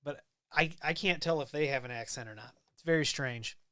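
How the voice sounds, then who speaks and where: Chatty Midwestern man, smooth clear voice. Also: medium pitch, a little fast, no room sound, studio quality. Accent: Midwestern American. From American Midwest. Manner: rambling, relaxed, with many ums and restarts. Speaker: livestream host. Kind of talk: casual live-stream chat reading viewer comments.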